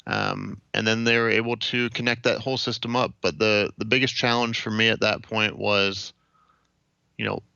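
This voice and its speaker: Low, gravelly young American man. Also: steady tone, sharp tone, dry recording, highs cut off. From Ohio, United States. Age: late 20s. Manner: measured, matter-of-fact. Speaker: interview guest. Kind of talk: recounting personal experience in an interview.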